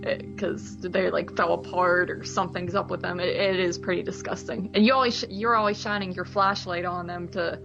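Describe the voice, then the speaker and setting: A woman's voice: lowish voice, fairly even intonation, fairly quick, gravelly, hardly any pauses. A woman chatting informally and conversationally as co-host of a movie podcast. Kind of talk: casual chat. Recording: dull lo-fi sound, music behind.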